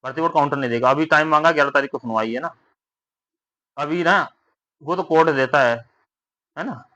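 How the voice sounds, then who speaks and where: Male exam-update vlogger, bright insistent tone, advising and reassuring, close dry sound. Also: on the high side, a little fast, no echo. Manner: repetitive, with frequent tag questions seeking agreement. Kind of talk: informal explainer addressed to exam candidates.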